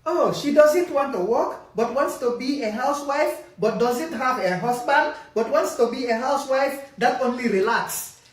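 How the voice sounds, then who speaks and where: High-voiced sarcastic woman in echoey room. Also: lively intonation, normal speed. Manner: incredulous, sarcastic. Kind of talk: mocking reaction commentary. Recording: echoey room, distant from mic.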